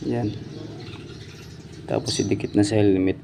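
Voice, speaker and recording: A man with a Filipino accent casually showing items in an unboxing vlog, haltingly searching for words. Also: fairly low pitch, fairly even intonation. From Philippines. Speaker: DIY and motovlog host. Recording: clearly noisy home-made vlog audio.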